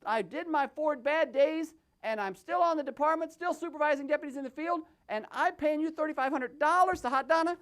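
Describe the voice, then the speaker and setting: Man doing a high-pitched smug puppet cop. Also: fairly quick, hardly any pauses, a bit bright. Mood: brisk, smug. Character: self-satisfied male cop puppet, brashly unrepentant. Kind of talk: comic puppet show acting.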